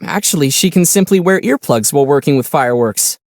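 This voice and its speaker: A man voicing a calm young traveler hero in a video game, measured and gently teasing. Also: fairly high pitch. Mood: thoughtful. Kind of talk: video game character dialogue.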